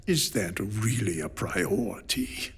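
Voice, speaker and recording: Audio drama hawk: skeptical military elder statesman type, lowish, raspy, unhurried. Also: lively intonation, a bit bright, heavily acted. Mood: stern, skeptical. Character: skeptical male military council member, stern and hawkish. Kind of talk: full-cast audio drama speech.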